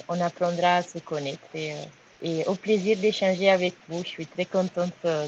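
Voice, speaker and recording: A woman with an Italian accent, introducing herself casually on an online call, often searching for words. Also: lowish voice. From Italy. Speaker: outdoor education trainer introducing herself. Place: online video call from abroad. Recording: noisy, dull lo-fi call audio.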